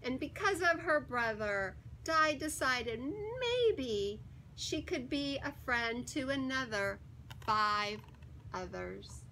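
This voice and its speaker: A woman reading a children's picture book aloud in an expressive, deliberate and emphatic storytelling manner. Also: high voice, noisy background. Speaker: story time reader.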